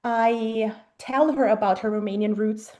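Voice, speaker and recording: A German-born woman in her thirties, a native German speaker, answering interview questions thoughtfully with frequent pauses and ums. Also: fairly high pitch, fairly even intonation, warm tone. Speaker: guest mother. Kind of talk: casual interview conversation.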